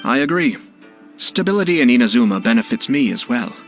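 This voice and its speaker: An actor playing a poised noble lord and strategist in a video game, speaking in a measured, courteous, formal way. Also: male voice, mid-range voice, animated, faint music behind, close to an everyday voice. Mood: calm, calculating.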